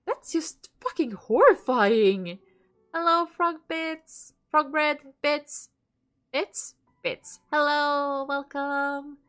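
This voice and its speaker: A woman's voice: high voice, extremely slow, a bit bright, soft background music. A woman with a stuffy nose streaming a game, reading its dialogue aloud and chatting casually with viewers, with the odd curse thrown in. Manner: reads lines aloud, swears and laughs between.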